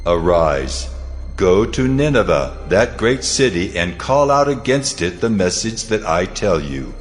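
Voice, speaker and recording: A male actor voicing God as a commanding, solemn, measured divine presence in a dramatized audio Bible, in cavernous reverb. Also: low-pitched, very animated, faint music behind.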